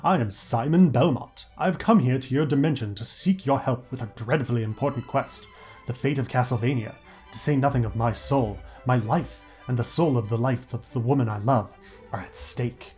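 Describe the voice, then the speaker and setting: A man's voice: medium pitch, moderate pace, a little mellow, natural voice. A man voicing an earnest, formal vampire-hunting hero with a foreign accent in an audio story with music behind. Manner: solemn, stilted, archaic courtesy. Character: earnest tall heroic vampire hunter, formal and desperate.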